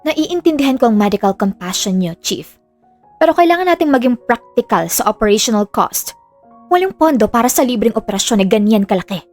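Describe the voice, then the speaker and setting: A woman's voice: on the high side, expressive, fairly quick, a little mellow, faint music behind, lightly acted. A woman playing a formal, officious hospital doctor in an audio drama, businesslike and jargon-heavy, with a veiled threat under politeness. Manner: formal, businesslike, mixing English jargon into speech.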